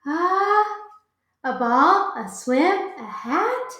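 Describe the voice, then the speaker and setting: A woman voicing Piggy, a cheerful pig friend who speaks in a bright, helpful way. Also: very high voice, sing-song, extremely slow, voice pushed up, heavily acted. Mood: upbeat. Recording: echoey room.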